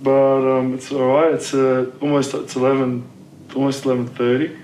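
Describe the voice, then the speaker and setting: An actor with a croaky, tired voice, chatting casually and affirming often. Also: male voice, medium pitch, flat delivery, normal speed, a little mellow, reverberant, recorded from a distance, a little hiss or hum, poor audio. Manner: casual, affirming with frequent "yeah". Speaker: actor preparing a role. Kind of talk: casual behind-the-scenes chat.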